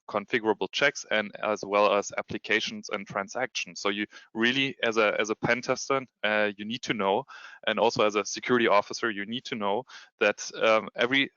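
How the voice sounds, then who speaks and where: A man's voice: on the low side, bright, forceful tone, smooth, no room sound, lo-fi sound. A man with a German accent giving a webinar presentation with screen share, hesitant, with many ums and restarts. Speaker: security consultant presenting a demo.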